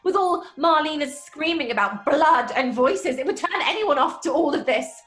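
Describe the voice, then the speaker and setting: A woman playing a sceptical, sharp-tongued stage actress in a horror audio drama, brisk and dismissive. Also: high-pitched, animated, faint music behind. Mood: irritated.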